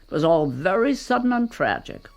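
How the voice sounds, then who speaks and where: A woman's voice: on the low side, very animated, normal speed, gravelly, a little mellow. An actress playing a gossipy, overbearing older society woman in a radio drama, speaking in a grand, emphatic, chatty way. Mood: gossiping, confiding.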